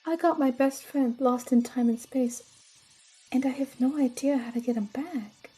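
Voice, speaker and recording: A woman's voice: high-pitched, fairly even intonation, moderate pace, warm tone, husky, soft background music, poor audio. A young woman playing an anxious, studious tech whiz student in a fantasy audio drama, speaking with earnest precision. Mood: panicked, guilty.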